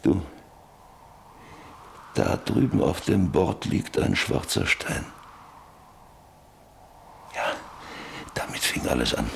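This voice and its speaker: An actor in a German radio drama playing an old, lonely rancher who broods bitterly over his life in halting, repetitive phrases. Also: extremely low pitch, very animated, vocal fry, slight background noise, full character voice. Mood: bitter, remembering. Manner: brooding, halting, repeating words.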